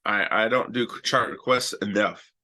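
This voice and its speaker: A man's voice: on the low side, measured pace, bright, forceful tone. Chatty male trading host with a cold. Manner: loose and chatty, many restarts and "all right"s. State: has a cold. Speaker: stock trading show host. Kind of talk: casual live-stream chart talk.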